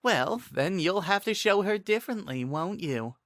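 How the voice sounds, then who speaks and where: Man voicing a brash, teasing sorceress, pitched up. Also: lively intonation, moderate pace, fairly bright tone, no echo, studio quality. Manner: playful, sardonic. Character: teasing, brash young woman magician. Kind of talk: dramatic storybook reading.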